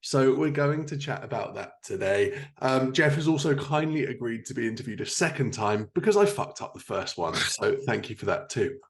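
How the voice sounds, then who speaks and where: Male podcast host, mid-range voice, part scripted intro and part relaxed interview chat. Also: mid-range voice, normal speed, few pauses.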